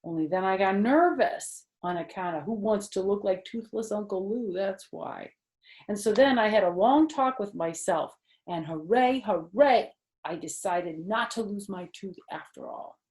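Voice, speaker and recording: A woman voicing an excitable, sassy first-grade girl in a children's storybook, with emphatic delivery and childish grammar. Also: medium pitch, unhurried, echoey room. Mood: proud, emphatic. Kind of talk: children's storybook read-aloud.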